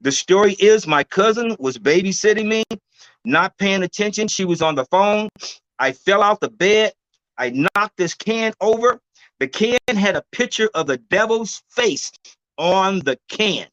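A man in his sixties, a guest herbalist, telling his story in a loud, emphatic, preacher-like way over a video call. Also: high-pitched, animated, unhurried, dry recording, highs cut off.

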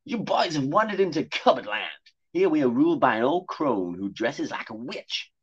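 A cheeky male talking cat squeaks out his warnings high and fast-changing, as a man reads him. The audio is dull and lo-fi. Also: sing-song, slightly rough. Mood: chatty.

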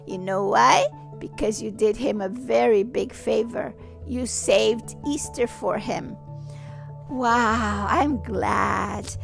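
A woman voicing a gentle, kindly man who speaks to a child in a hushed, patient way. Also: fairly high pitch, slow pace, creaky, soft background music, exaggerated voice. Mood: praising.

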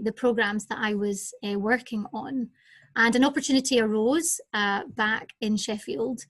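A woman's voice: fairly high pitch, a bit bright, dry recording. A Scottish woman, a lecturer guest in a podcast interview, recounting at length with some fillers, recorded at home. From Scotland. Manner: fluent storytelling with fillers. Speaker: guest, senior lecturer in anatomy and physiology. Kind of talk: interview answers. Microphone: home setup over digital platform.

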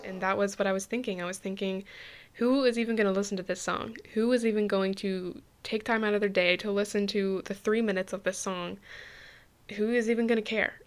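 A woman's voice: medium pitch, steady tone, brisk, a little hiss or hum. A young woman hosting a podcast, talking casually, chatty and laughing easily, often restarting her phrases. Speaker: podcast host.

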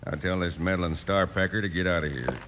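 A man's voice: sits low, a little fast, noisy background. A man playing a domineering, greedy, threatening American outlaw rancher in an old-time radio western. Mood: menacing. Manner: threatening, bullying.

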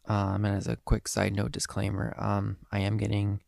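A man's voice: fairly low pitch, monotone, normal speed, gravelly, darkish tone, no room sound, clean studio sound. A nineteen-year-old man with a head cold and a slightly nasal voice, hosting a casual solo podcast in an earnest, rambling way with lots of ums. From Eastern Pennsylvania. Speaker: podcast host.

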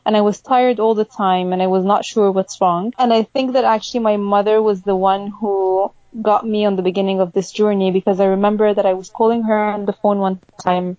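A woman talks in a friendly, chatty way and laughs easily. Her voice is mellow and warm, of middle pitch. The sound is dry and dull, like lo-fi podcast audio. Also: steady tone, a little fast, few pauses, no room sound. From Egypt. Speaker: podcast guest, health coach. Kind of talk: casual conversational interview.

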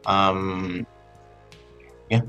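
Male interviewer, lowish pitch, halting and casual, fillers throughout, faint music behind. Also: fairly even intonation, pushed and bright, muffled recording. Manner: hesitant, lots of fillers and you-knows.

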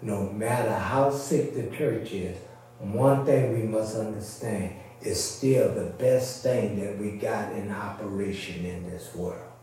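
Male preacher, low and unhurried, explanatory informal sermon, very echoey distant church recording with faint hum. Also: mellow. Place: church service, indoors.

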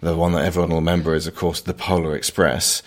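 A man's voice: sits low, level tone, fast. A man in a casual film discussion, talking as a podcast host in an emphatic, opinionated way.

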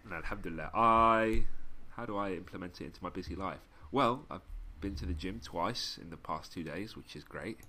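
Deep-voiced man, joking podcast co-host. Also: a little fast, hardly any pauses. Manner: joking and laughing often, relaxed slangy talk. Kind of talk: casual chat, reading listener messages aloud.

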